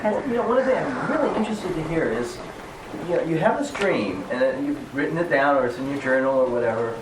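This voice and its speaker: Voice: medium pitch, expressive, quick pace. A questioner speaking conversationally, heard from a distance in an echoey, noisy space. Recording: echoey, noisy, far from the mic.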